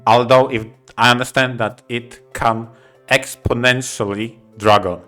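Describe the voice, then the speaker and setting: A man co-hosting a podcast casually, speaking in halting, emphatic short phrases. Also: medium pitch, fairly even intonation, pushed and bright, soft background music. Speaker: podcast co-host.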